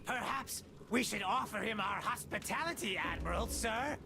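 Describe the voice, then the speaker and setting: A man's voice: sits high, expressive, normal speed, vocal fry, background noise. An actor playing an obsequious henchman in a video game, servile and deferential toward his boss. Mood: deferential, coaxing. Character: fawning, obsequious male henchman of a gang leader.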